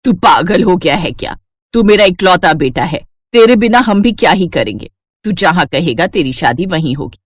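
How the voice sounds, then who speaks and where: A woman's voice: fairly low pitch, animated, normal speed, dry recording, studio quality, pitched below the performer's own voice. A woman voicing a proud, rich mother of an only son in a cartoon tale, stern but yielding. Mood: exasperated.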